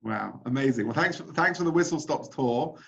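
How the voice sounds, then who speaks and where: A man's voice: medium pitch, expressive, fairly quick, creaky. A man, a rabbi, hosting a casual remote interview in a friendly, enthusiastic way. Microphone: video call. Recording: dull lo-fi video call audio.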